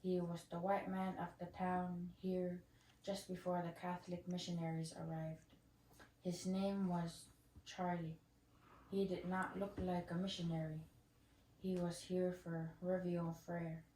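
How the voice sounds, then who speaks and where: A woman reads a life story in a slightly low, soft-edged voice. Her words echo in the room over faint noise. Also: level tone, unhurried. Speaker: reader at a school story sharing. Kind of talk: reading an elder's life story aloud.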